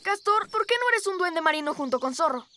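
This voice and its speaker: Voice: extremely high pitch, animated, a little fast, pushed and bright, a little hiss or hum, heavily acted. An actor voicing a cheerful young turtle boy in a Spanish children's cartoon, eager and organizing, like a storyteller leading friends. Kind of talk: children's cartoon dub acting.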